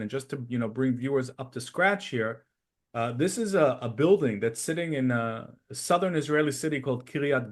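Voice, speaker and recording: Measured man hosting a current affairs podcast. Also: mid-range voice. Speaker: podcast host. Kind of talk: interview and news commentary.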